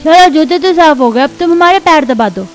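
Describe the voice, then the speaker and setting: A woman's voice: sits high, expressive, moderate pace, faint music behind. A woman voicing an insistent young village child in a cartoon story. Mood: bossy.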